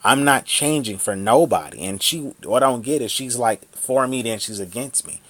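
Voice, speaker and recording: A man's voice: medium pitch, lively intonation, normal speed, fairly bright tone, dry recording. A man in his thirties ranting angrily to the camera in a vlog, swearing a lot and repeating himself. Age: 30s. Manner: angry, profane, repetitive ranting. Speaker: vlogger.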